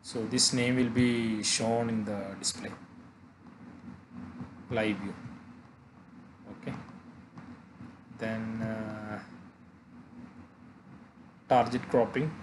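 A man narrating a software tutorial haltingly, pausing often mid-phrase. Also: mid-range voice, noisy background. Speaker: tutorial presenter.

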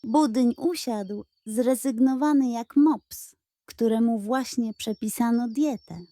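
A woman tells a children's bedtime story in a gentle, expressive voice. She speaks slowly, her tone is mellow and pitched a little high, and the recording is dry and close. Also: dry recording.

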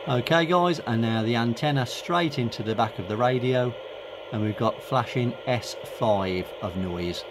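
A man's voice: mid-range voice, a little slow. A middle-aged man thinking aloud in an informal, hesitant way as he gives a casual hands-on demonstration. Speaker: hobbyist radio video host. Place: indoors at home workbench and radio desk. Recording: clear background hiss and room noise. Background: radio static, ambient noise.

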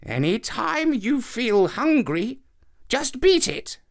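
Man voicing a slow, high-pitched commanding old woman. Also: very animated, creaky, exaggerated voice. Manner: slow, deliberate instructions. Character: commanding old woman giving strange errands.

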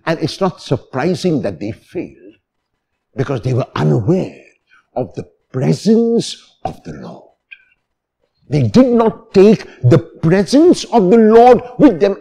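A man in his sixties, a priest, preaching a homily in a deliberate, emphatic manner with phrases repeated for stress. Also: sits high, mellow. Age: 60s. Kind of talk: homily, expository sermon. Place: church, at the lectern.

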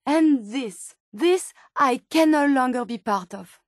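An actress voicing a gentle, earnest female robot scientist turned human in a video game, with a French accent and formal, precise phrasing. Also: high voice, expressive, slow pace, dry recording, studio quality. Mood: hurt, upset. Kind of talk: video game character dialogue.

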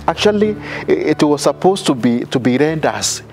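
A middle-aged man, a priest giving a measured sermon outdoors with many fillers and restarts. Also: a little high, sing-song, a little mellow. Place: outdoor garden altar.

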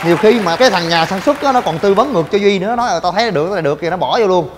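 A young man hosting a gym vlog, joking in rapid banter and shouting coaching cues. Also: sits high, expressive, a faint crowd, slight background noise. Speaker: fitness vlogger. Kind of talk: energetic gym demo vlog. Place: large indoor gym. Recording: long gym reverb with music.

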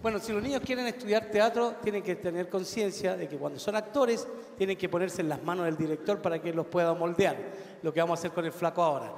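Chilean guy bossing his partner around onstage, fairly high voice, roomy hall echo. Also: fast, a little mellow. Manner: bossy, instructing, exaggerated. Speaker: comedian playing a director. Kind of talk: stage sketch comedy. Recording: live festival stage with hall echo.

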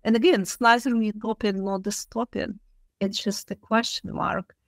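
Woman, interviewed professor, unscripted explanation, thoughtful, emphatic repetition, medium pitch, dry recording. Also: fairly even intonation, moderate pace, no echo. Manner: thoughtful, emphatic, repeats key phrases.

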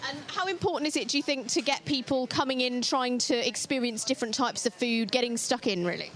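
A woman's voice: high-pitched, brisk, a bit bright. A young woman presenting a live on-location interview into a handheld microphone at an outdoor food festival. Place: open tent at an outdoor street food festival. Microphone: handheld reporter microphone.

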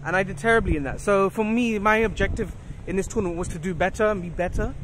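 A young man giving a quick, plain-spoken recap of a tournament, outdoors on a city street at night. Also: high voice. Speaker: friend and guest player. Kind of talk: casual chat. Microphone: handheld camera. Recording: loud wind noise on an outdoor phone mic.

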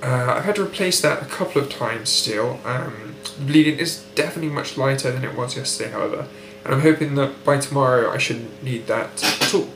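A young British man with a blocked, nasal voice, speaking in an articulate, measured way for a recovery video diary. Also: mid-range voice, music in the background. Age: young adult. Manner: articulate, precise, unhurried. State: nasal, blocked nose after surgery. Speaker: patient keeping a recovery diary. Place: indoor room at home by a window. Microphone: built-in webcam mic, close framing. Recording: echoey room with faint hum.

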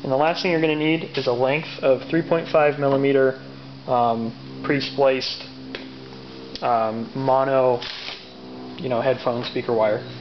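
A man giving a casual, unscripted how-to explanation as a DIY presenter, matter-of-fact and step by step, with hesitant pauses. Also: medium pitch, little pitch movement. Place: garage or workshop with machinery. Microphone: handheld camera's built-in mic. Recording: dull lo-fi camera audio, background noise.

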